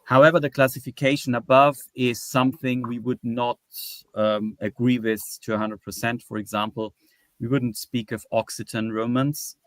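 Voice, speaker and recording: A man lecturing in an academic webinar, explaining slides in a halting, step-by-step way. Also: medium pitch, fairly even intonation, no echo. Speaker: academic presenter.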